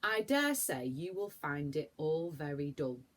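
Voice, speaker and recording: A woman's voice: low voice, very animated, measured pace, a bit bright, full character voice. A woman voicing a dismissive, unexcited father in a children's storybook, speaking matter-of-factly.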